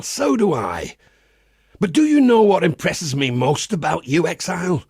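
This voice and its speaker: A man voicing a pious, wry crusader priest in a video game, with a husky, gravelly voice and a measured, folksy preacher's manner. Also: on the high side, no echo, clean studio sound, heavily acted. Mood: wry, fond. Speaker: game character. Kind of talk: scripted game character monologue.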